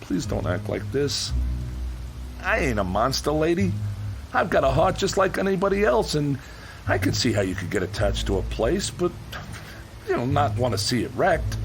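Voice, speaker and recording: Gruff kindly contractor, American accent, animated pitch, colloquial, earnest, insistent, radio drama, faint music behind. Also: male voice, normal speed. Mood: pleading, reasoning. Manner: colloquial, ungrammatical working-man speech, earnest and insistent. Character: gruff working-class demolition contractor, blunt but kind-hearted.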